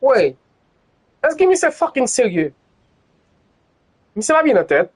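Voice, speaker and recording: Excitable, very animated male gamer. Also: extremely high pitch, measured pace, husky. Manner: excitable, repetitive exclamations, swearing. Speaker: gamer streaming a playthrough. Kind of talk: live gaming reaction commentary.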